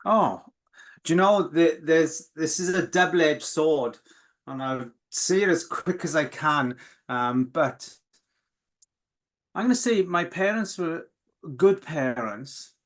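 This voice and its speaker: A man from the South Wales valleys, a Welsh speaker first, talking openly and reflectively as an interview guest, with many pauses and restarts. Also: a little high. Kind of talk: candid, emotional interview.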